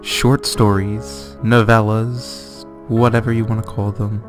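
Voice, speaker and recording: Slow-paced man over loud music. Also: lowish voice, flat delivery. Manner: jokey, easily amused. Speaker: let's play co-host. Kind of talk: casual chat and dramatic book reading. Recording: music behind the voice.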